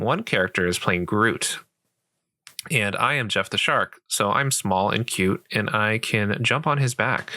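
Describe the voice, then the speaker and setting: A sweet, patient boyfriend played by a man walks a beginner through a game in a casual roleplay. His voice is low and crisply bright, recorded close and dry. He rambles gently and keeps things reassuring. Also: dry recording. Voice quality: soft, creaky voice. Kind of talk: roleplay ASMR, casual improvised game tutorial.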